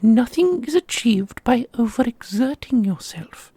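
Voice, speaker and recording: Woman as a high, lively, sardonic immortal mentor. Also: measured pace, no echo. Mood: doting, instructive. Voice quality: high, husky with creak. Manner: theatrical, teasing, instructive. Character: millennia-old custodian mentor, doting on pupil, witheringly sarcastic. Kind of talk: ASMR roleplay voice acting.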